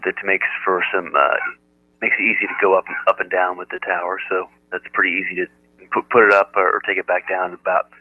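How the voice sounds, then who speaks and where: An American man chatting casually as an amateur radio operator, with a halting delivery. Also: low voice. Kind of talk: casual ham radio chat. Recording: narrow-band, telephone-like radio audio.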